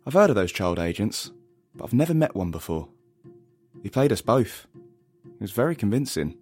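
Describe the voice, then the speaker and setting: Male resistance fighter, audio drama. Lowish pitch, steady, protective, understated and sincere. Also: moderate pace, slightly rough, soft background music. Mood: serious. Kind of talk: naturalistic audio drama acting.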